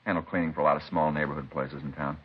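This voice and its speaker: A man's voice: rumbling low, fairly even intonation, rapid-fire, slightly rough. An actor playing a methodical police crime lab man in a vintage radio drama, laconic and matter-of-fact. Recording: muffled vintage radio recording.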